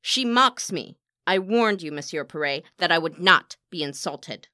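A woman's voice: medium pitch, very animated, moderate pace, pushed and bright, no echo, clean studio sound, soft background music. A woman voicing a proud, scornful Italian opera diva in an audiobook, accusing and haughty. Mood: furious scorn. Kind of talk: audiobook character acting.

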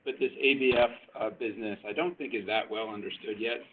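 A man's voice: on the low side, a little fast, noisy background. A male company executive explaining things in a businesslike way on an earnings call, over a phone line.

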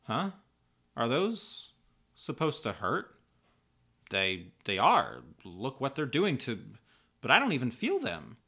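A man's voice: medium pitch, wildly swooping pitch, takes it slowly, long pauses, pushed and bright, breathy edge, pitched above the performer's own voice, exaggerated voice. A man voicing an earnest, naive and easily hurt teenage superheroine in comic book dialogue, with a pleading, stammering, indignant delivery. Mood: puzzled, surprised.

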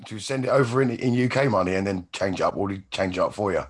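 A man hosting a podcast in a relaxed, chatty way, his voice hoarse and croaky. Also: on the low side, very fast. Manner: relaxed, chatty, loose phrasing. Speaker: podcast host. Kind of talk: casual chat.

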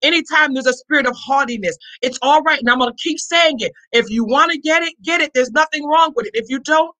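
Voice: high voice, brisk, bright, forceful tone, low-quality recording. A pastor giving a fervent charismatic church sermon, exhorting emphatically with repeated refrains and interjections, often raising the voice.

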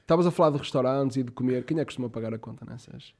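Teasing Portuguese comedian host. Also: male voice, mid-range voice, very fast, a little mellow. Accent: European Portuguese. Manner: teasing, laughs often, lots of fillers. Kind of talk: casual chat.

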